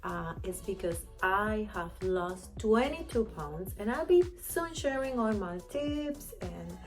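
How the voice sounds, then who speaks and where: A woman's voice: mid-range voice, animated, slow pace, soft background music. Chatty Panamanian woman in her thirties. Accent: Panamanian Spanish. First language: Spanish. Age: 30s. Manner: chatty, candid, frequent fillers like "you know". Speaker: vlogger. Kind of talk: casual chat to camera.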